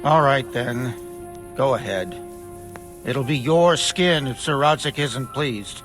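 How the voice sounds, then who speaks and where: Mocking, suspicious gate guard. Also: male voice, mid-range voice, slow, a bit bright, faint music behind. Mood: sarcastic, suspicious. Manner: mocking, challenging. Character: sarcastic, suspicious male castle gate guard. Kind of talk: video game cutscene dialogue.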